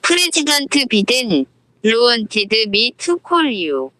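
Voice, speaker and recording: A woman's voice: a little high, very animated, slow pace, sharp tone, heavily acted. A woman voicing a guarded, curt male dictator in an amateur audio drama. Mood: guarded, threatening.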